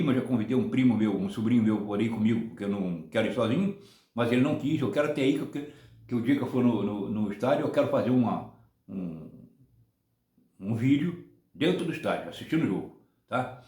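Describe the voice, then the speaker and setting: A man in his seventies from Brazil, rambling through casual football commentary on his own channel, often ending points with a quick "tá?". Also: medium pitch, darkish tone, roomy sound. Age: 70s. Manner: rambling, many restarts and tag "tá?".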